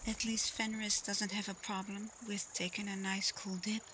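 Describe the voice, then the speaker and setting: A woman playing a caring, teasing female alpha werewolf in an intimate, softly spoken ASMR roleplay. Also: mid-range voice, level tone, noisy background, natural voice. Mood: playful teasing. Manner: playful, unhurried, soft, at times whispering. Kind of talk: ASMR roleplay, intimate acting addressed to listener.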